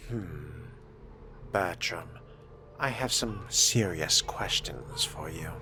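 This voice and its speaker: Male role-player for a young vampire: extremely low, crisp bright edge, measured scripted questioning. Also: slight background noise. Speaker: player reading a fledgling vampire's lines. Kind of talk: scripted role-play dialogue.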